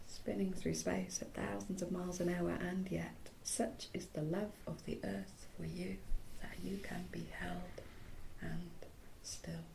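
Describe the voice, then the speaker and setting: A woman guiding a yoga nidra relaxation in a soothing, hushed manner, in short phrases with long pauses. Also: on the low side, gravelly, a little hiss or hum, low-quality recording. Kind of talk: guided relaxation meditation.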